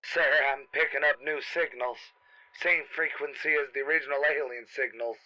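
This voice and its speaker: Starship crewman: brisk military reports, piercing tone, lo-fi intercom effect. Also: medium pitch, fairly even intonation, sharp tone, slight background noise. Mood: brisk, alert. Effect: intercom radio filter. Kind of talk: radio sci-fi drama acting. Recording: muffled, narrow intercom radio sound.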